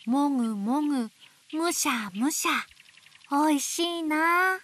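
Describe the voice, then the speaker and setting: High, sing-song motherly owl storyteller. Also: expressive, exaggerated voice. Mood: playful storytelling. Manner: gentle, slow, sing-song, playful sound words. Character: gentle motherly female owl teacher reading picture books in a forest. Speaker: picture book storyteller for babies. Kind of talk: picture book read-aloud for toddlers. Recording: faint background noise of nature. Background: nature sounds.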